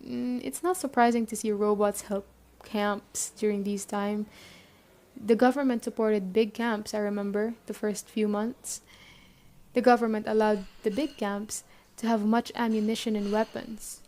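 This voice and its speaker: A woman playing a young zombie-apocalypse camp chief in a roleplay audio drama, explaining things conversationally to the listener with hesitant pauses. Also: medium pitch, fairly even intonation, a little mellow, close to an everyday voice. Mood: matter-of-fact, reflective.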